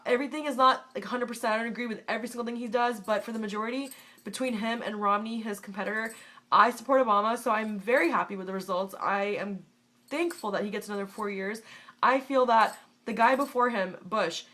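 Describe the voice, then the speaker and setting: A woman's voice: a little high, fast talker, a bit bright. Congested young woman, blunt vlogger. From United States. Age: 20s. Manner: blunt and opinionated, swears freely. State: congested from a cold. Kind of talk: casual Q&A vlog.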